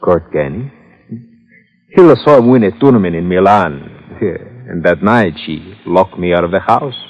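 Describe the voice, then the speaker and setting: An actor playing a gloating, vengeful jilted husband in a 1950s radio drama, theatrical and savoring each word. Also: male voice, low voice, expressive, normal speed. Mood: bitter amusement. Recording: dull old radio recording.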